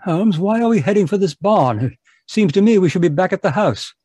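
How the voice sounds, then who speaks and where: Radio mystery sidekick doctor, played by a man: high raspy voice, dark soft tone, earnest and flustered. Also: lively intonation, a little fast, dry recording. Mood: alarmed, indignant. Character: earnest, easily alarmed English doctor, detective's sidekick. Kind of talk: old-time radio mystery acting.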